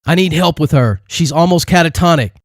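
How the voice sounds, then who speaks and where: A man voicing a frantic, urgent young man shouting in danger in an audiobook. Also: on the high side, brisk, no room sound, clean studio sound, voice pushed up, natural voice. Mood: pleading, urgent. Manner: urgent, yelling. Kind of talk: audiobook character acting.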